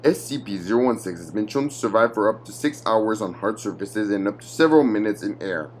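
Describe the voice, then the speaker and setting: A man giving a formal, measured scripted narration of a clinical document. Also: lowish voice, expressive, a little hiss or hum.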